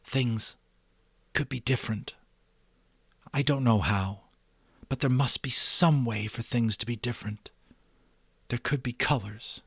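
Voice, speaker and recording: Thoughtful, secretly troubled boy in a storybook, played by an adult man, hushed and hopeful, close dry sound. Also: medium pitch, gravelly, slightly rough, dry recording, pitched above the performer's own voice, exaggerated voice. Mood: wistful wondering. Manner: hushed, slow, earnest whispering.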